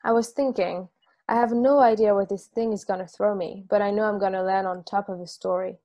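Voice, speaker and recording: A dreamy traveler who muses about stories, read by a woman on a video call. The voice is mellow and warm, with a wistful, musing manner. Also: medium pitch, fairly even intonation, a little fast, dull audio, close to an everyday voice. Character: dreamy wandering storyteller woman.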